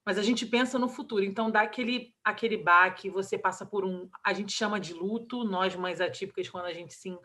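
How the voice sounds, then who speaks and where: A woman in her thirties with a Brazilian Portuguese accent, an interview guest talking about her child, emotional and halting, with many restarts. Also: on the low side, fairly bright tone. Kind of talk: candid personal interview.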